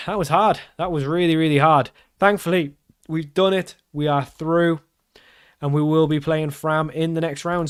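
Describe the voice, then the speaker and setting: Excitable man, smooth higher voice. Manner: excitable, shouts at big chances and goals. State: losing his voice. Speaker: game streamer. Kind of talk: live commentary over a football management game.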